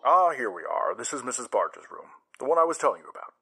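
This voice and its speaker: An actress playing a courteous, brisk hospital staff member making introductions. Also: female voice, rumbling low, sing-song, over loud music, heavily acted. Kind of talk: audio drama acting.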